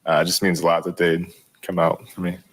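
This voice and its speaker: A young man answering reporters after a game, with a very low and flat voice. He sounds modest and low-key, pausing often with ums. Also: husky. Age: young adult. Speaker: rookie pitcher. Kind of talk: postgame media interview.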